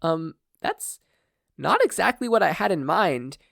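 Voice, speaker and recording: A man's voice: high voice, very animated, a little slow, creaky, fairly bright tone, dry recording, clean studio sound, pitched above the performer's own voice, full character voice. A man voicing a timid, worried mother who is polite but candid, with a hesitant, nervous delivery. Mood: tentative.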